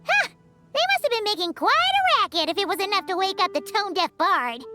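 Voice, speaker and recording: A woman's voice: very high voice, sing-song, fairly quick. A woman voicing a tiny floating female companion in a video game, brash and chirpy, referring to herself by name. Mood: cheeky. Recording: game audio with background music.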